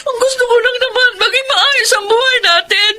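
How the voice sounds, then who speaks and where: Tearful overburdened daughter, radio drama: extremely high crying voice, very noisy audio. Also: female voice, normal speed. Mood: tearful, pleading. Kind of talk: Filipino radio drama acting.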